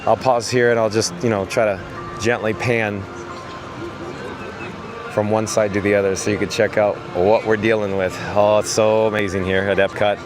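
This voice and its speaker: Man, hoarse voice, chatty live-stream vlogger, outdoors, reads chat aloud. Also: lowish voice, flat delivery, moderate pace, music in the background. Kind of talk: live-stream walking commentary. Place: outdoors at a theme park.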